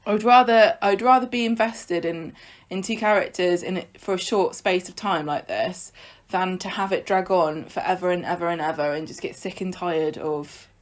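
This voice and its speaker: A woman's voice: on the low side, normal speed, hardly any pauses. A woman co-hosting a podcast, chatting casually and frankly about a soap opera, often trailing off mid-thought. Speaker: podcast co-host.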